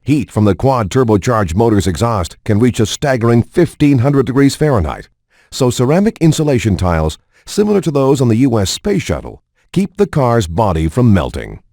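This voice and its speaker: A man's voice: mid-range voice, very animated, moderate pace, dark tone, no echo, studio quality. A man reading formal, scripted promotional narration as a voice-over for a game. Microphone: studio voice-over.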